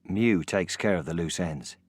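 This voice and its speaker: A very low male voice narrating a crime game. He delivers short scene-setting lines as a scripted narrator. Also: measured pace, a little mellow, no echo, clean studio sound. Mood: terse.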